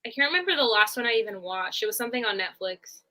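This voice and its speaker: Bright, giggly young woman. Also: fairly high pitch, animated, quick pace. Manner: giggly, with frequent laughs and fillers. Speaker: guest. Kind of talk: casual chat.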